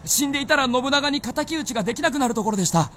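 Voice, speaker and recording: High-pitched, fervent young gunner. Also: male voice, lively intonation, racing, bright, forceful tone, a little hiss or hum, full character voice. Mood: grateful. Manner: earnest, fervent, boyish. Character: hot-headed, earnest young male gunner, devoted to his master. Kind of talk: Japanese radio drama acting.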